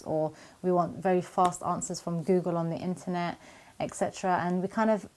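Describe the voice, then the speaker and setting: A woman with a slightly low voice answers viewer questions on Quran memorization. She talks in a calm, measured, encouraging way and drops in Arabic religious phrases. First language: not Arabic. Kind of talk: Q&A video talk to camera.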